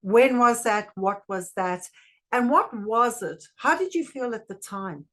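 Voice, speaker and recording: A woman hosting an interview, asking measured questions in short, deliberate phrases. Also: a little high, expressive, fairly bright tone. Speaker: interviewer, host.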